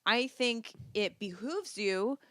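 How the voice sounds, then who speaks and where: A woman's voice: a little high, animated, takes it slowly, fairly bright tone, no room sound, studio quality. A woman co-hosting a podcast, chatting casually and giving advice in a playful, teasing way. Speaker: podcast co-host, dance teacher.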